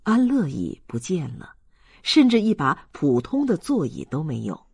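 Male narrator for a literary audiobook, husky tone, close dry sound, expressive scripted reading. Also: fairly high pitch, measured pace, no echo.

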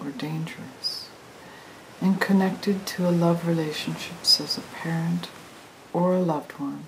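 Female meditation guide: low-pitched, soothing, very unhurried, breathy near-whisper, trance-induction delivery. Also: rough recording. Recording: hissy recording with steady noise.

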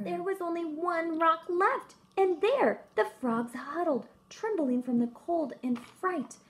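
A woman, reading a storybook aloud to children with expressive, emphatic phrasing, recorded at home. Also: high-pitched, vocal fry. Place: room at home.

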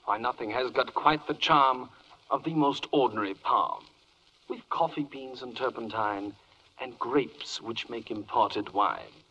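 A proud, grandiose spokesman declaims florid rhyming verse in an old radio comedy. The voice is middling in pitch, with a husky grain and a forceful bright edge. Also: muffled recording, exaggerated voice. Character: grandiose, self-satisfied spokesman for the vegetable kingdom. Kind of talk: 1940s radio comedy, reciting rhymed verse.

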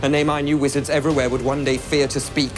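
A young actor playing an arrogant dark wizard with a British accent, haughty and clipped. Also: male voice, medium pitch, level tone, quick pace, natural voice. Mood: proud contempt. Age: young adult. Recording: film clip under loud music.

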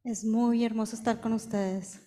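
A woman with a fairly high voice interprets a speaker line by line. She is fluent, sometimes restarts a word, and her voice rises at the lighter moments. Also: steady tone, normal speed, mellow. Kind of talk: live consecutive interpreting. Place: large hall with audience, indoors.

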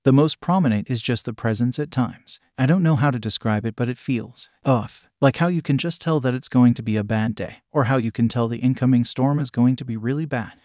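A man's voice: medium pitch, brisk, hardly any pauses, a little mellow, no room sound, clean studio sound, close to an everyday voice. A man voicing an easygoing, teasing adult male friend in a story reading, chatty and slangy. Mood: musing, casual. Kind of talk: acted dialogue in story reading.

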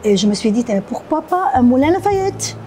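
A woman's voice: sits high, sing-song, normal speed, mellow, soft background music. A middle-aged woman from Lebanon with a Lebanese accent, answering interview questions conversationally as a café owner. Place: indoor café.

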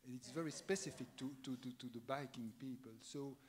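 A man's voice: medium pitch, brisk, darkish tone. A man moderating a conference panel, posing questions while stumbling and repeating words. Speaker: session moderator.

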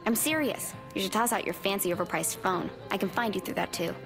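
A woman playing a paranoid, deadpan young computer hacker in a video game, talking in a dry, matter-of-fact way. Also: mid-range voice, a little fast, creaky, close to an everyday voice. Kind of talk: video game cutscene acting. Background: background music.

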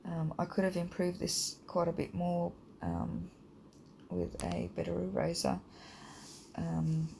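A woman with a croaky voice from hay fever, teaching a drawing lesson in a relaxed, rambling way with many ums. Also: lowish voice, slow pace, a little hiss or hum, low-quality recording. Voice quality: croaky, gravelly. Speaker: art teacher. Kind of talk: casual drawing tutorial voice-over.

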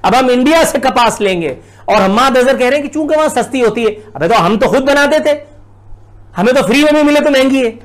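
A man's voice: very high voice, lively intonation, fairly quick. A middle-aged Pakistani man giving indignant, sarcastic political commentary full of rhetorical questions. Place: indoors, plain blue backdrop.

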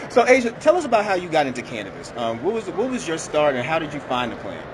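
A man's voice: fairly high pitch, huge pitch swings, fast talker, crowd noise. A man from Boston hosting a podcast, upbeat and slangy in casual interview talk. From Boston, USA. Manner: upbeat, chatty, laughs easily, slangy. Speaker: podcast host. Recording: noisy background.

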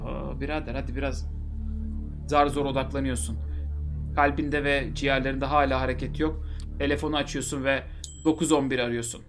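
A man's voice: medium pitch, flat delivery, brisk, soft background music. A man in his thirties reading a visual novel aloud on a live stream with joking asides, into a headset mic. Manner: joking asides between narration. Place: home streaming room.

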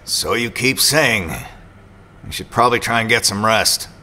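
An actor playing a suspicious, prickly loner robot pilot in an audio drama, talking in a sardonic, accusing way. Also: male voice, lowish voice, moderate pace, bright, forceful tone. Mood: grumpy, sardonic.

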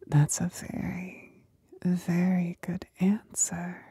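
Possessive demon companion played by a woman, ASMR roleplay: very slow, dark breathy whisper, seductive and commanding. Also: fairly low pitch, completely flat delivery, no room sound, full character voice. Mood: coaxing, commanding. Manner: slow, deliberate, soothing yet domineering.

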